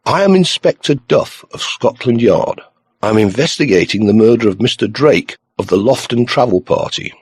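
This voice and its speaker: A man voicing a steady male police inspector in an audiobook, polite and businesslike. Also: mid-range voice, normal speed, breathy edge. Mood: careful, sympathetic.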